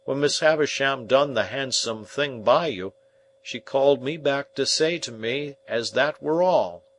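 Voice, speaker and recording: A man voicing a slow, kindly working-class blacksmith, speaking with rustic grammar in a ponderous, emphatic way. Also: mid-range voice, a bit bright, slight background noise, voice pushed up, natural voice. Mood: earnest.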